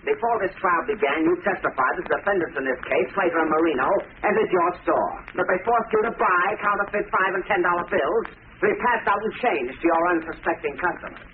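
Man as fast, high-voiced stern radio prosecutor. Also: far from the mic, natural voice. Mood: stern, pressing. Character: stern, accusing courtroom prosecutor, indignant and insistent. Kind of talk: 1940s radio drama acting. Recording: tinny, hissy old radio recording.

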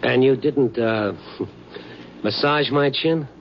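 An actor playing a steady, kindly, streetwise American newspaper reporter in a 1950s radio drama, wry and measured. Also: male voice, medium pitch, slight background noise. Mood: gentle probing. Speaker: narrator and lead character. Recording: dull vintage radio recording.

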